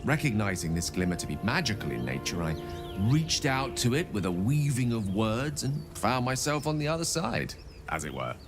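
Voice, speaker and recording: An actor voicing a genial, wordy wizard in a video game, speaking with ornate courtesy and wry humor. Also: male voice, mid-range voice, big pitch swings, moderate pace, music in the background, close to an everyday voice. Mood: wry storytelling. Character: genial, verbose male human wizard, eloquent and wry. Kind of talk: video game dialogue acting.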